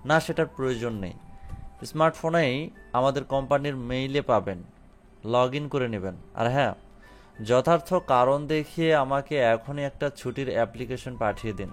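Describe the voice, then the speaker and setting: A man's voice: medium pitch, moderate pace, faint music behind, natural voice. A man playing a firm but considerate company director, formal and dropping in English phrases. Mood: firm, businesslike. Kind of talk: audio story drama acting.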